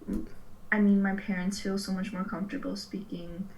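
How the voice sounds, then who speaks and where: A woman's voice: medium pitch, little pitch movement, moderate pace, creaky, darkish tone, a little hiss or hum, rough recording. A young woman from Serbia answering interview questions casually, filling her speech with "like". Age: young adult. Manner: chatty, lots of "like" fillers.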